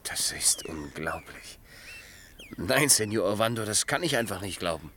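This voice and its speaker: An earnest, low-voiced, troubled investigator. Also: male voice, big pitch swings, normal speed, pushed and bright, slight background noise. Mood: incredulous. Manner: earnest, questioning, dryly ironic. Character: earnest, troubled man investigating a crime, wryly sceptical. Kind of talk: German radio play acting, 1980.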